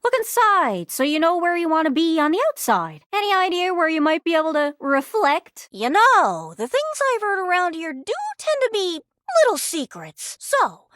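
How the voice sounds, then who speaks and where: A woman voicing an excitable, scatterbrained globe character in a video game, with a folksy Newfoundland accent and a bubbly, exclamatory manner. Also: very high voice, moderate pace, hardly any pauses, dry recording, studio quality, heavily acted. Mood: chatty, thoughtful, then coy. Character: excitable, scatterbrained living globe longing to travel.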